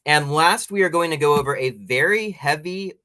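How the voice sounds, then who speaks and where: A man's voice: fairly high pitch, moderate pace, sharp tone, dry recording. An American man hosting a conversational interview show, introducing topics and putting questions to guests.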